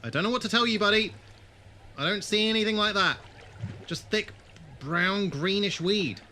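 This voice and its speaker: A man's voice: high voice, moderate pace, pushed and bright, a little hiss or hum. A man acting in an audio drama as a commanding, sardonic adventurer who speaks with dry, accusatory emphasis. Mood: dry, unimpressed. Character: wounded, sardonic, commanding male adventurer investigating monsters.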